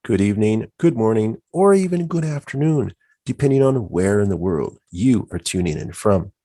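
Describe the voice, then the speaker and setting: A man's voice: lowish voice, animated, moderate pace, dark tone, dry recording, clean studio sound. A man hosting an online club event, reading a welcoming scripted introduction. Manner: welcoming, announcing. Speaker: event host.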